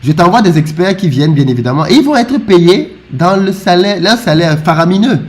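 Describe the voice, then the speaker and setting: Animated man with stammered restarts. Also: high-pitched, a little fast, a little hiss or hum. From Africa. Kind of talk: casual discussion.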